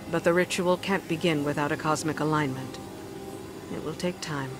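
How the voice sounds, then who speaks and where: A woman playing a solemn, loyal royal advisor in a fantasy video game, measured and wistful. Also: natural voice. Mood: formal, grateful. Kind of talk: video game dialogue acting. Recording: clear ambient rumble behind the voice.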